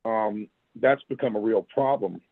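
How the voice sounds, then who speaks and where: A man with a raspy voice and a sore throat, a retired army sergeant talking as a guest in a casual interview, plainspoken with folksy asides. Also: lowish voice, a little slow, no echo. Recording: tinny phone line, dry.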